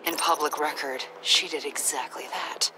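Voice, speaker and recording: An earnest, troubled agent in a game played by a woman, with a low voice that often turns breathy. She speaks in a hushed, hesitant way over clear ambient noise. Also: fairly even intonation, normal speed, natural voice. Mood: confiding, serious. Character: Avesta, earnest troubled adult female government agent. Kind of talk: naturalistic video game acting.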